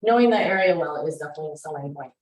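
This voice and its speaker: A woman teaching a real estate class, chatty and energetic, with many fillers. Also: low voice, rapid-fire. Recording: roomy classroom echo.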